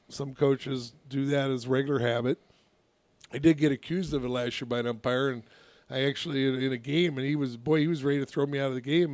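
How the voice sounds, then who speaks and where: A man, a youth softball coach, telling a long story on a casual radio talk show, with a naturally loud voice and many restarts. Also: medium pitch, no echo.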